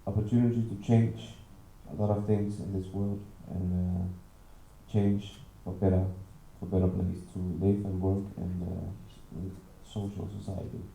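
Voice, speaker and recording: A man from Denmark introducing himself at a conference, halting and filling pauses. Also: deep voice, little pitch movement, gravelly, warm tone, slight background noise. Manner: halting, with fillers. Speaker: conference participant. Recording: roomy echo, off-mic.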